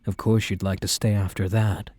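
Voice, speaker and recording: A soft, dark-toned young crime boss acted by a man, talking tenderly to a partner in a boyfriend roleplay. His delivery is measured and protective, recorded very dry and intimate. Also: on the low side, level tone, breathy edge, dry recording. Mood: guarded, confiding. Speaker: roleplay voice performer. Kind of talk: boyfriend ASMR audio roleplay.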